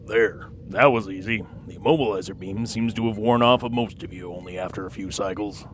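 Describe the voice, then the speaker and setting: A man playing a level-headed, analytical robot engineer in an audio drama, speaking in a measured, matter-of-fact way. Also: fairly low pitch, soft background music, natural voice. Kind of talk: audio drama character acting.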